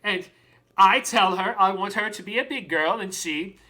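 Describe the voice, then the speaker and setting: Woman acting a doting parent, bright forceful voice. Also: mid-range voice, low-quality recording. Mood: fond, earnest. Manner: animated storytelling, dramatic pauses, drawn-out emphasis. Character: doting, excitable parent of a small girl, called Daddy. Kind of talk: theatrical dramatic monologue.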